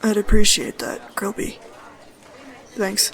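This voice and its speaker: A woman playing a worn-out, worried male skeleton in an audio drama, halting and evasive. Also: mid-range voice, animated, gravelly, fairly bright tone, a faint crowd, exaggerated voice. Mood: weary, resigned.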